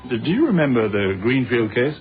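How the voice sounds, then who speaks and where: A man's voice: medium pitch, big pitch swings, a little fast, faint music behind, close to an everyday voice. Actor as an affable ex-policeman, muffled old radio sound. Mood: reflective. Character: affable ex-policeman turned businessman, old friend. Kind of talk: British radio drama acting. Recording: muffled, narrow-band old radio recording.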